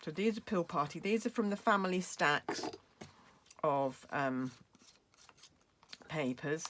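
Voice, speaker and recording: A British woman demonstrating a craft project, chatty and explaining each step with fillers, her voice going hoarse. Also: low voice, expressive, slow pace, a bit bright, a little hiss or hum. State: losing her voice, coughing. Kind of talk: crafting tutorial.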